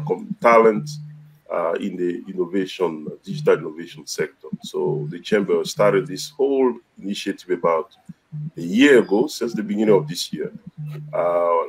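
A middle-aged man answering interview questions from a home office over a video call, formal and halting with many fillers. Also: low voice, gravelly, low-quality recording. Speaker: business chamber executive guest.

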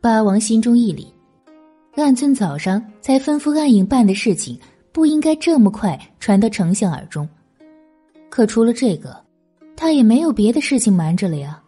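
Soft-toned, husky woman narrating a novel. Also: a little high, big pitch swings, normal speed, faint music behind. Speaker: audiobook narrator. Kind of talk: scripted dramatic novel narration.

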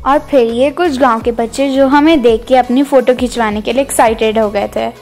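A woman narrates a vlog in a high voice. She is chatty and casual, dropping English words into her talk, with music playing faintly behind her. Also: fairly even intonation, fairly quick, darkish tone. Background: background music.

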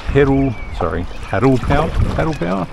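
A man's voice: mid-range voice, very animated, a little fast, creaky. An Australian man chatting casually while fishing from a kayak, rambling with lots of fillers, recorded on an action camera out on a lake. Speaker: fishing vlogger. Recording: outdoor camera audio with wind and water noise. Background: wind and water noise.

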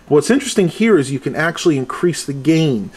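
A man's voice: fairly high pitch, lively intonation, fast, a little mellow, slightly rough. A man giving a casual hands-on tech demonstration and review, speaking into a handheld camera at home. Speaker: product reviewer. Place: small furnished room at home. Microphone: handheld camera's built-in mic, held by the speaker.

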